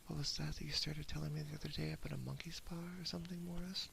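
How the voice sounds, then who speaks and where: Hushed, very creaky male ASMR reader. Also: fairly high pitch, flat delivery, fast, slight background noise. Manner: hushed, half-whispered, close delivery. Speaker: ASMR streamer reading a story aloud. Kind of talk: ASMR reading of a classic horror short story. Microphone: binaural ASMR microphone, very close.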